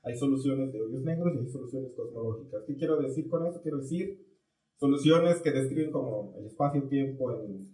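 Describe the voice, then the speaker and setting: A man's voice: mid-range voice, fairly quick, dark tone. Guy hosting, rambles and corrects himself, roomy echo. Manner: rambling, self-correcting questions. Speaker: podcast co-host. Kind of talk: casual interview questions. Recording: roomy echoey room.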